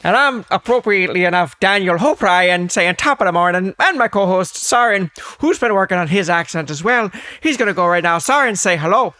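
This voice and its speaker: A man putting on a loud, hammy Northern Irish accent as a podcast host. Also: high voice, lively intonation, fairly quick, hardly any pauses, no echo, pitched above the performer's own voice. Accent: put-on Northern Irish. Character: man hamming up an Irish brogue.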